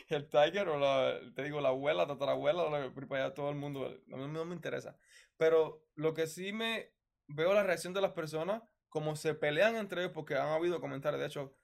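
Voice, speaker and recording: A man's voice: fairly high pitch, gravelly. A man with a Cuban accent chatting as a podcast guest, emphatic and sassy, often repeating himself. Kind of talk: casual chat.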